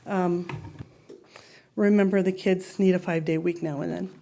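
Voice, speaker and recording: Warm-voiced mother pleading with a board. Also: lowish voice, fairly even intonation, unhurried, vocal fry, a little hiss or hum. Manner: friendly, conversational, pleading. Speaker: parent speaking at public comment. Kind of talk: unscripted public comment. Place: school board meeting room.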